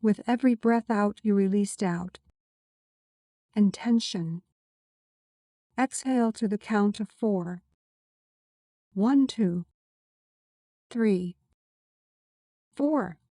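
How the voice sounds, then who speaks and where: A woman leads a guided meditation in a soft-edged, mellow voice, recorded very dry and close to silence of any room. She speaks slowly and deliberately, keeping a calm, soothing delivery suited to scripted affirmations. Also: mid-range voice, dry recording.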